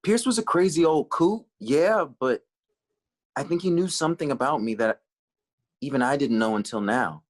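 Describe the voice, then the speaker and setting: A man's voice: fairly high pitch, lively intonation, normal speed. An actor playing an earnest, naive young man in a sitcom table read on a video call. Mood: earnest, excited.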